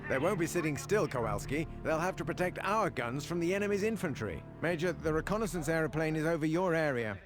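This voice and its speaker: A male actor as a military adviser in a war video game, with a slightly raised pitch. He is measured and formal, given to dry understatement while briefing his commander. Loud music plays behind him. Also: expressive, close to an everyday voice. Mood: instructive. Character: composed, dryly witty male military adviser to a major.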